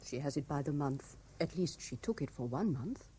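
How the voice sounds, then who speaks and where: A woman's voice: sits low, animated, moderate pace, warm tone. A woman playing a polite, matter-of-fact hotel concierge in a radio play. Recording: faintly noisy 1970s radio recording.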